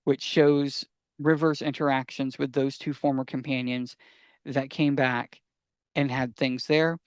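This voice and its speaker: A man's voice: mid-range voice, little pitch movement, measured pace, clean tone, dry recording, clean studio sound. A man co-hosting a podcast in casual talk, eagerly explaining his recommendations and answering his own questions. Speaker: podcast co-host.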